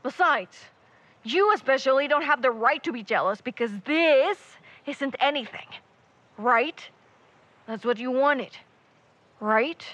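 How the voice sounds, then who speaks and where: A woman as a high-voiced, defensive young investigator. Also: animated, a bit bright, dry recording, highs cut off, heavily acted. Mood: conflicted, insistent. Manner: flustered, halting, defensive. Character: defensive, conflicted young investigator with romantic baggage. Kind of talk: naturalistic audio drama acting.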